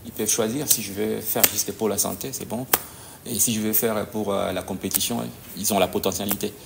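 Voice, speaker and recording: A man, a judo coach answering interview questions in a halting way, often pausing to search for words. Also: lowish voice, fairly even intonation, vocal fry, heavy background noise. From DR Congo.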